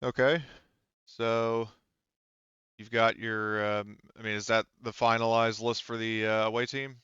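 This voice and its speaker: A man's voice: fairly low pitch, flat delivery, a little slow, fairly bright tone, clear, resonant tone, no echo, lo-fi sound. A man acting as game master in a casual tabletop roleplaying session, explaining and thinking aloud.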